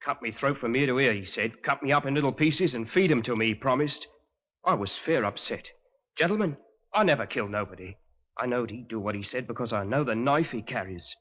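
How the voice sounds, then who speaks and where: An actor playing a desperate criminal with rough slangy working-class speech in a 1950s radio drama. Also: male voice, mid-range voice, a little fast, a bit bright, lightly acted. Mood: frightened, pleading. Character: desperate, violent London criminals plotting a robbery. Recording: muffled old radio recording, long reverb.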